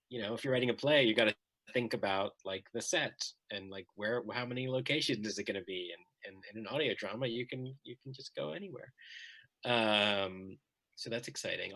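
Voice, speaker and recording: A man with a bright, forceful, slightly low voice chats casually on a panel. He sounds eager and talks in choppy bursts, often restarting. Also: poor audio. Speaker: playwright, panel guest. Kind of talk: casual unscripted panel chat.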